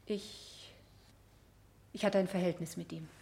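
Troubled, halting wife as witness. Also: female voice, on the low side, fairly even intonation, warm tone. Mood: reluctant, subdued. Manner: terse, halting, emotionally strained. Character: troubled married woman, reluctant witness. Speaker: actress in a radio play. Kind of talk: 1970 German courtroom radio drama acting.

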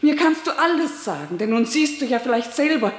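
A woman's voice: high voice, very animated, brisk. An actress playing an anguished, reproachful middle-aged mother in a German radio drama, plaintive and insistent. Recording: echoey, long reverberant room sound.

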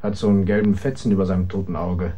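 Low, mellow shady underworld man. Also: fairly even intonation, fast talker. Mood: guarded. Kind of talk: radio crime drama acting.

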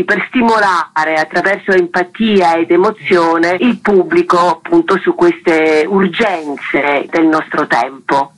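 A woman's voice: fairly low pitch, fairly bright tone, low-quality recording. Earnest woman giving a radio interview. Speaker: festival artistic director, interview guest. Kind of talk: radio interview, promotional talk.

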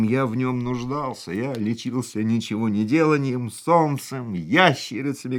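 An actor in a radio play playing a world-weary, reflective racing driver, speaking in a measured, literary way. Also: male voice, medium pitch, close to an everyday voice. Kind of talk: literary radio drama acting, monologue and dialogue.

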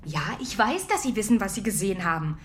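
An actress plays a middle-aged mother who grows more anxious by the minute as her husband fails to turn up. She talks fast and insistently, in a plain everyday voice. Faint background noise sits under this radio play. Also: medium pitch, very animated, lightly acted. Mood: frustrated. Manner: worried, insistent.

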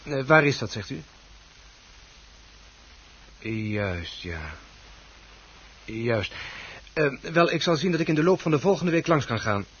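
An actor playing a businesslike reporter on the telephone in a radio drama, brisk, polite and noncommittal. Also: male voice, mid-range voice, lively intonation, a bit bright, slightly rough, exaggerated voice. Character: businesslike male newspaper reporter taking a phone call. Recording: dull, muffled old radio play recording.

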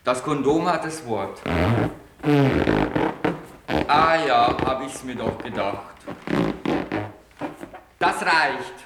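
A man's voice: mid-range voice, animated, very slow, long pauses. A man playing a pompous, stern judge in a satirical radio play, commanding and mocking. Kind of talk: 1980s amateur radio play. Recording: roomy echo, faint hiss.

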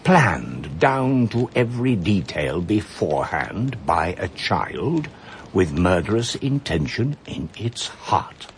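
An actor playing an elderly male judge in a radio drama, speaking in a measured, precise, ominous way. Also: low voice, big pitch swings, slight background noise, exaggerated voice. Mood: grave. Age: older. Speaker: retired judge.

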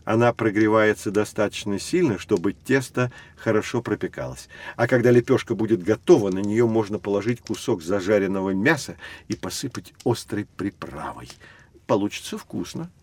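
Actor as a knowledgeable professor guiding children. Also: male voice, fairly low pitch, normal speed, close to an everyday voice. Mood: patient, explanatory. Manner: explanatory, lecturing. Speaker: educational guide. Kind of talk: scripted educational audio drama.

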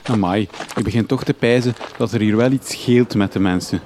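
A man's voice: lowish voice, normal speed, a little mellow, a little hiss or hum, close to an everyday voice. A man playing an earnest, bewildered young journalist with a Flemish accent, thinking aloud in a radio comedy drama. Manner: naive, puzzled, thinking aloud. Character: earnest, bewildered young journalist back in his home village.

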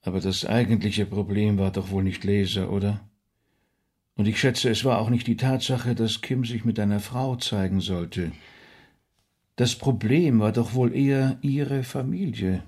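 A man playing an insistent rabbi who acts as detective, questioning in a measured, formal way in a radio drama. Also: on the low side, darkish tone, breathy edge. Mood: probing.

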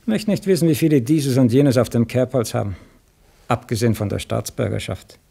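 An actor playing a jaded, bossy senior official in a radio drama, curt and condescending, with a Viennese accent. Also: male voice, medium pitch, fairly quick, vocal fry, dark tone, husky. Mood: jaded, wary. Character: grumbling, jaded senior male bureaucrat, bossy and cautious.

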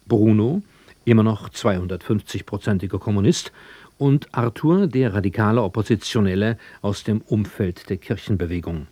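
A male radio announcer reads a scripted introduction. His voice is fairly low. The sound is dry, with no room on it. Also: lively intonation, moderate pace, mellow, no room sound.

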